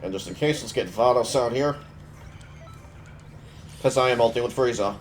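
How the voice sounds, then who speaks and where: A young man with a cold, his voice stuffy, giving relaxed, offhand commentary while playing a mobile game. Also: medium pitch, normal speed, a little hiss or hum. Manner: relaxed, offhand, thinking aloud. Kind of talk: casual gameplay commentary.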